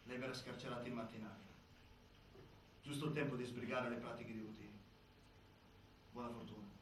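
An actor playing a cool deputy prison commander in a stage drama, speaking in a curt, pressing, official way. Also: male voice, medium pitch, level tone, brisk, close to an everyday voice. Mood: cool, dismissive. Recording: very echoey theatre, distant, faint noise.

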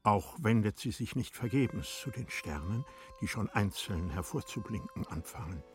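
A man narrating a literary radio drama in a solemn, deliberate way, sometimes dropping to a hush. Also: expressive, soft background music. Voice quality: low, husky. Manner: solemn, deliberate, hushed at times.

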